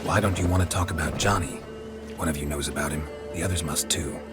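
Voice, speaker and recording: A stoic male monster hunter from a fantasy video game, with an extremely low, gravelly voice. He talks in terse, deadpan phrases, restrained and patient, over clearly audible music. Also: fast talker. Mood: earnest. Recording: music under the voice.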